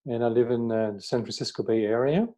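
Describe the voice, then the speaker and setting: An older man from the Netherlands with a Dutch accent, explaining his work reflectively and haltingly, often repeating words. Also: medium pitch, dark tone. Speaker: workshop facilitator, therapist being interviewed. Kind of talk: reflective interview talk.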